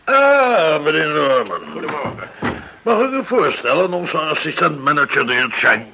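An actor in a Dutch radio play playing a jovial, obliging bank manager with a raspy, creaky voice and courteous, effusive manner. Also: male voice, on the high side, very animated, normal speed, muffled recording, noisy background. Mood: genial.

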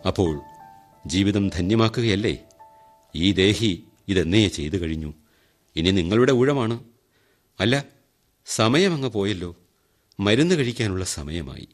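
A wry, chatty man teases his listeners in a lowish voice over faint music. His manner is conversational and slightly mocking. It is a monologue from a radio play. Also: expressive, a little slow, long pauses. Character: ironic middle-aged man who donates a kidney. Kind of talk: radio drama monologue addressing the audience. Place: radio studio.

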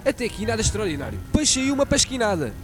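A man playing a pompous, fast-talking newspaper clerk. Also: high voice, very animated. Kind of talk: student radio drama.

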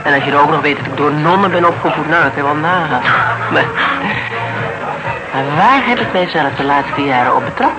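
Reflective woman, radio play, frank, old-fashioned, hesitant musing, loud music behind. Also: deep voice, animated, a little fast, long pauses, rough recording. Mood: musing, earnest. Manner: hesitant, musing, self-correcting. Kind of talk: naturalistic radio drama dialogue.

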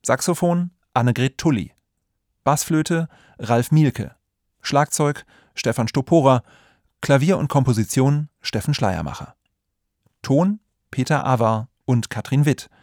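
A man's voice: medium pitch, lively intonation, a little slow, a little mellow, no room sound, studio quality. A man announcing the title and credits of a radio play as a scripted reading.

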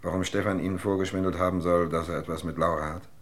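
Male actor as weary gentleman, radio crime drama, deep bass voice, grave, formal, earnest. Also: fairly even intonation, fast, close to an everyday voice. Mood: concerned, earnest. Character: earnest, weary, polite gentleman questioned by police.

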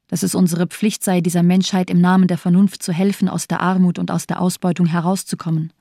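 A woman's voice: lowish voice, dark tone, no echo, studio quality. An actress playing an embittered, disillusioned woman survivor in a radio drama, speaking coldly with bitter sarcasm. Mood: bitterly sardonic. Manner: cold, lifeless, sardonic delivery.

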